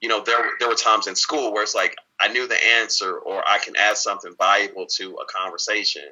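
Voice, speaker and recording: A man's voice: fairly low pitch, fairly even intonation, fairly quick, pushed and bright, lo-fi sound. A man speaking as an interview guest in a candid conversation, reflective and earnest, often repeating words and restarting sentences. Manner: talks openly with frequent repeated words and restarts.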